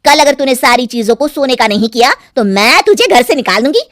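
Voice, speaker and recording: A woman's voice: high-pitched, lively intonation, brisk, noisy background. A woman voicing a greedy, bossy middle-aged village mother-in-law, pushy and scolding, in a cartoon story. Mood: angry, bossy.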